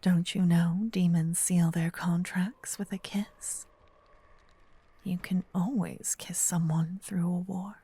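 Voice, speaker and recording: Woman acting a slow, whispering, possessive vampire lover. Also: on the low side, little pitch movement, no room sound, exaggerated voice. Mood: seductive, possessive. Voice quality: breathy, soft whisper. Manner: slow, intimate, lingering delivery with solemn romantic phrasing. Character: gentle, possessive female vampire lover, devoted and seductive. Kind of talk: whispered ASMR roleplay. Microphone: close binaural microphone, ear to ear.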